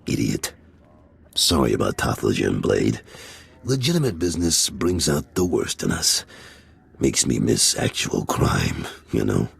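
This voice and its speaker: A very deep, rough-voiced underworld boss, gruff and weary. His husky, gravelly delivery swings widely in pitch, with blunt, sardonic video game character timing. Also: wildly swooping pitch, unhurried, heavily acted. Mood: irritated.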